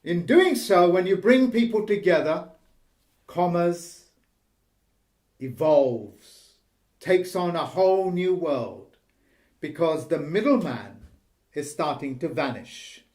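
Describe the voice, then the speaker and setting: A man hosting a video, delivering a slow, emphatic monologue. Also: fairly high pitch, animated, a little mellow. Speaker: host.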